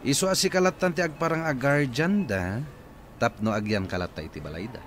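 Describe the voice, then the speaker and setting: An actor playing a nosy, scheming neighbor with a sly, wheedling way of talking. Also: male voice, medium pitch, brisk, soft background music, close to an everyday voice. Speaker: radio drama actor. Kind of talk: radio drama acting.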